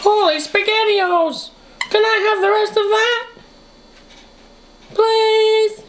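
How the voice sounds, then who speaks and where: Squeaky, friendly little puppet creature. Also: steady tone, takes it slowly, a bit bright, a little hiss or hum, full character voice. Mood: bright, friendly. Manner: squeaky, babyish, cheerful sing-song. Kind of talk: improvised puppet show for toddlers.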